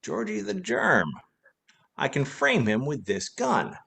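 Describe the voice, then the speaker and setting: A man's voice: mid-range voice, huge pitch swings, takes it slowly, vocal fry, pushed and bright, heavily acted. A man playing a wry, wisecracking, tipsy former detective in a vintage-style radio play. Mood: cool, scheming. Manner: wisecracking, teasing.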